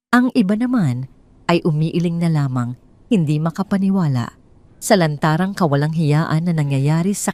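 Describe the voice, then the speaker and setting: Lower-pitched Filipino woman narrating a drama. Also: mellow. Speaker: story narrator. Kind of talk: scripted dramatic audio story narration.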